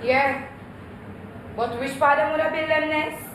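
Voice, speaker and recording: A woman's voice: high-pitched, fairly even intonation, a little slow. An actress playing a blunt young Jamaican woman on stage, speaking Patois in a direct, matter-of-fact way. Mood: wry, resigned. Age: 20s. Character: blunt, plain-spoken young Jamaican working-class woman. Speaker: stage actor. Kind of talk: theatrical comedy-drama. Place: indoor theatre stage. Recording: echoey noisy theatre, distant mic.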